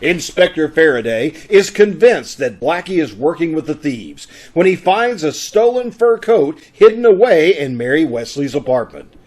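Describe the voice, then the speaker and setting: A man announces an old-time radio play in scripted narration. His voice sits high for a man. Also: sing-song, normal speed, few pauses, darkish tone. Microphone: video call.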